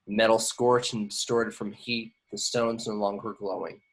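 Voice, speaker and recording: A man's voice: fairly low pitch, little pitch movement, normal speed, rough recording. A man reading screenplay stage directions aloud at an informal table read, occasionally stumbling over words. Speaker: action description reader.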